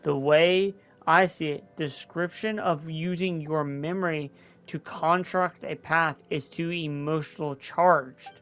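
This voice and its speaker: A man's voice: a little high, steady tone, unhurried, fairly bright tone. A man voicing a weary, guilt-ridden old scholar of gods, reading earnestly with small restarts. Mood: musing.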